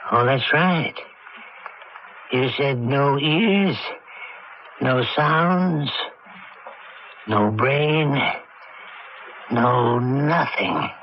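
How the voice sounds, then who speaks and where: A man's voice: medium pitch, lively intonation, extremely slow, pauses often. An actor playing a kindly, slightly forgetful old grandfather in a 1980s radio drama. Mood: musing. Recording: noisy, muffled old radio broadcast.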